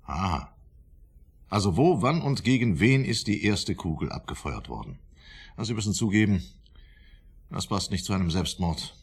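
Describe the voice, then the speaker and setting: A self-important professor of psychiatry with a slightly low, husky voice. He speaks formally and probes shrewdly, scheming behind his courtesy, in radio drama style. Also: very animated, normal speed, long pauses, full character voice. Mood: shrewd, challenging. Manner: formal, probing, condescending.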